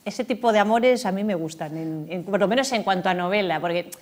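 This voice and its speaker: A woman's voice: mid-range voice, brisk, a little mellow. A woman from Galicia, an author being interviewed in a TV studio, talking with many fillers and restarts. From Galicia, Spain. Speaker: author guest.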